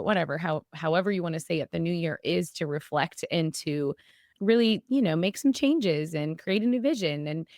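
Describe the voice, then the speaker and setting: A woman in her forties co-hosting a casual podcast, chatty, with quick asides and frequent laughter. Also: on the low side, vocal fry, darkish tone, no room sound, clean studio sound. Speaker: podcast co-host. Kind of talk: casual chat.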